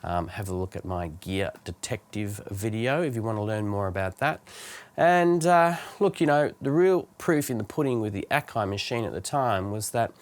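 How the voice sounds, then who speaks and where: A man's voice: lowish voice, animated, normal speed. A middle-aged man presenting an informal explainer video, talking reflectively and conversationally as he thinks aloud. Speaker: music producer presenting a video. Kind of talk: informal explanatory monologue to camera.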